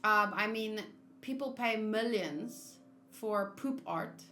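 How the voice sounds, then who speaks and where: A woman game streamer giving casual commentary, musing aloud in a halting, conversational way. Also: medium pitch, a bit bright, slight background noise. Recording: roomy sound over faint game music.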